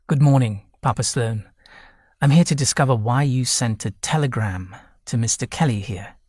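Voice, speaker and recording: Man playing an imperious young gentleman, formal. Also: mid-range voice, moderate pace, no room sound, studio quality, close to an everyday voice. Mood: calm, formal. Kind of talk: audiobook character voice.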